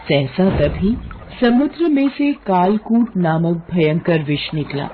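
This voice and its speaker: A woman's voice: on the low side, lively intonation, slow pace, highs cut off, a little hiss or hum. A woman narrating a scripted mythological tale as a storyteller.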